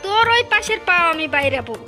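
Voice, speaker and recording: A woman's voice: very high voice, very animated, quick pace, bright, forceful tone, faint music behind, heavily acted. A woman voicing a jealous, bickering village wife, insistent and argumentative, in a Bengali fairy tale cartoon. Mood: furious.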